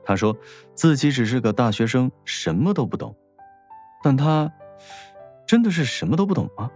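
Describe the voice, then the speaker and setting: A man's voice: medium pitch, lively intonation, normal speed, darkish tone, breathy edge, soft background music. A man narrating a scripted audiobook story in a wry storytelling manner.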